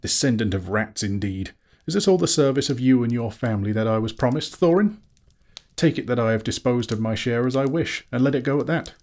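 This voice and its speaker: Guy doing a little hobbit for a storybook, squeaky and raised way up, fussy, prim, plucky. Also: quick pace, darkish tone, natural voice. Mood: flustered, indignant. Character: small, flustered, indignant hobbit, polite but plucky. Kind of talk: dramatic storybook reading.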